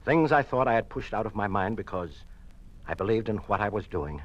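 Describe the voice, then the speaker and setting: Low-voiced, conflicted American radio-drama politician. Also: male voice, animated, fairly quick, highs cut off. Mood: bitter realization. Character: conflicted American communist politician turning defiant against his bosses. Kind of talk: old-time radio drama acting.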